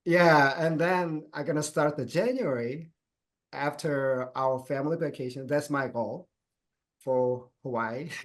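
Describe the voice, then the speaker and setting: Middle-aged guy in an interview, stumbles and restarts, laughs easy, average pitch. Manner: halting phrasing with many restarts, laughs often. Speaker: guest sharing his business plans. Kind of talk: casual interview conversation.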